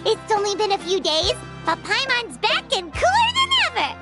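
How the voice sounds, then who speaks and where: A woman voicing a tiny, childlike girl sprite in a video game, squeaky, excitable, cheeky and boastful. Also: unhurried, over loud music, full character voice. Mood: excited, proud. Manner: excitable, cheeky, speaks of herself in third person.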